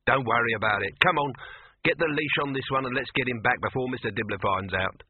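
A man voicing a gruff, uneasy animal trainer with a brusque, muttering manner in an audiobook. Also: mid-range voice, a little fast, no room sound, phone-quality sound, natural voice. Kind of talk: audiobook character voice.

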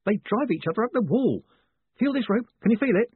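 A man voicing a nervy, eager young technician in a story, hurried and helpful. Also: sits high, lively intonation, breathy edge, no echo, pitched above the performer's own voice. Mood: urgent, eager. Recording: narrow phone-like sound.

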